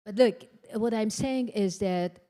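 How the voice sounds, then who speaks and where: Older woman, mellow. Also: medium pitch. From Iran. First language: Persian. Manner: earnest, halting, searching for words. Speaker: panelist. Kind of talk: panel discussion remarks.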